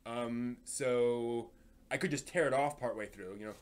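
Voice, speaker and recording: A man hosting a live stream in a casual, chatty way, often halting and repeating words as he thinks aloud. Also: mid-range voice.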